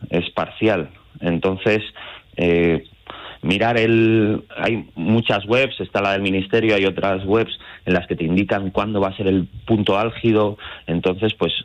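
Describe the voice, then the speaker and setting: A man with a central Spanish accent is interviewed by phone as a guide. His voice sits fairly low, and the line is dull and lo-fi. He explains things step by step in an informal way. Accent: central Spain. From Madrid, Spain. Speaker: astrotourism guide, phone guest.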